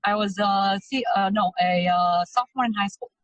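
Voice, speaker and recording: A woman's voice: mid-range voice, moderate pace, dry recording. A woman in her thirties, originally from Romania, talking in a loose, chatty way with frequent hesitations as an interview guest. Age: 30s. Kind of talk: casual testimonial interview.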